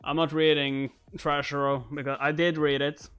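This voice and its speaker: Higher-voiced young man streaming, music behind. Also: flat delivery, normal speed, a bit bright, clear, resonant tone. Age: young adult. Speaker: streamer reading a comic aloud and reacting. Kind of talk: casual live-stream read-along. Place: indoors, at a streaming setup.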